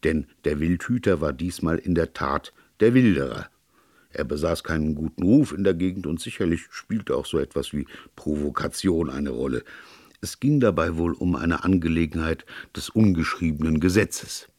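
A man's voice: a bass voice, moderate pace, a little mellow, no room sound, studio quality. A man voicing a skeptical, exasperated police inspector with a blunt, incredulous manner in an audiobook. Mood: exasperated, insistent. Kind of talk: audiobook character dialogue.

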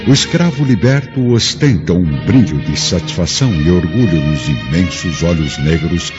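Deep, solemn male narrator over dramatic music. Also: expressive, normal speed. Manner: solemn, theatrical. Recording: dull lo-fi, dramatic music behind.

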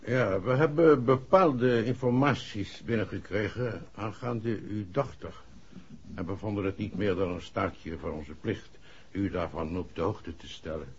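An actor playing an authoritative, impatient senior police chief in a 1960s Dutch radio play. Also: male voice, lowish voice, unhurried, husky. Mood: formal. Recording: dull, muffled vintage radio recording.

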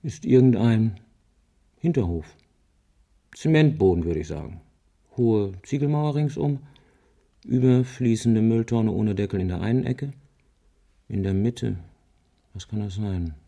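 An actor playing a wry, easygoing man in a German radio crime drama, thinking aloud methodically in a dry, deliberate way. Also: lowish voice, vocal fry, warm tone. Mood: pondering.

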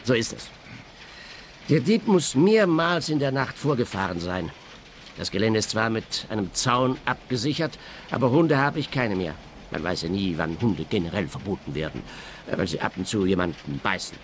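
Schauspieler als ernster Schrottplatzchef, leichte Hintergrundgeräusche. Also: male voice, lowish voice, expressive, moderate pace, slight background noise, natural voice. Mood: matter-of-fact. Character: earnest, matter-of-fact male scrapyard boss. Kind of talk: radio play dialogue.

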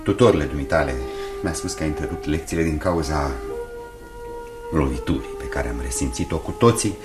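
An actor playing a grave, anguished uncle and formal music master in a radio drama, speaking in a measured, theatrical way. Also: male voice, rumbling low. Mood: courteous, insistent. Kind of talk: Romanian radio theatre acting.

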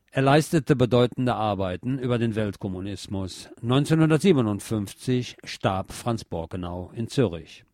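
Man, scripted narration, lowish pitch, very dry recording. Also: a little mellow, dry recording. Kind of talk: scripted narration, author biography and book credits.

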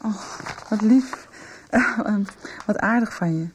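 An actress playing a gentle, forgiving injured girl who speaks hesitantly, in a 1990s radio soap. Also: medium pitch, takes it slowly, slight background noise, close to an everyday voice. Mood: shy, tender. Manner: hesitant, caring.

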